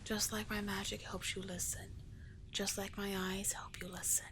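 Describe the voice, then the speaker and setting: A woman voicing a seductive, manipulative sea witch in a soft breathy whisper, coaxing and tenderly possessive, in an ASMR roleplay style. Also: medium pitch, flat delivery, normal speed, noisy background, close to an everyday voice. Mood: hypnotic, persuasive.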